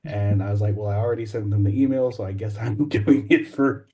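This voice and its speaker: A man's voice: fairly low pitch, a little fast, warm tone. A man talking casually and telling anecdotes as a voice actor on a podcast, speaking from a library.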